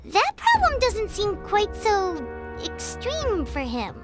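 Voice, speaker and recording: A squeaky, childlike fairy companion. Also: female voice, huge pitch swings, takes it slowly, over music, exaggerated voice. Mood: alarmed, curious. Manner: bubbly, exclamatory, refers to self in third person. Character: tiny excitable female fairy companion, childlike and chatty. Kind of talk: video game character acting.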